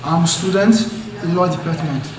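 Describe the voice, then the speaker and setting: A man's voice: fairly high pitch, normal speed. A young man formally introducing himself as a student. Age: young adult. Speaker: university student. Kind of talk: formal self-introduction to camera. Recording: very echoey room, distant mic, noise.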